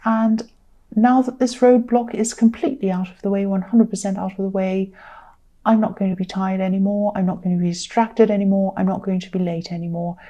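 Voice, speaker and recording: A tired woman with a slightly croaky voice, giving a conversational tarot reading to viewers in an earnest, gently advising way, repeating phrases for emphasis. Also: medium pitch, fairly even intonation, a little fast, lo-fi sound. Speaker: tarot reader.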